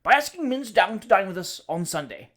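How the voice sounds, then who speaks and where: A reader booming as a loud hearty gentleman. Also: sing-song, fast talker. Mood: boisterous. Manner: booming and repetitive. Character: loud, hearty, overfamiliar middle-aged man.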